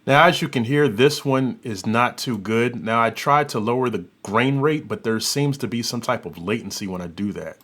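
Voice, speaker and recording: A man hosting an audio software tutorial, giving an informal, unscripted demonstration and thinking aloud as he works. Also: medium pitch, moderate pace, few pauses, a little hiss or hum. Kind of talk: informal demonstration and review commentary.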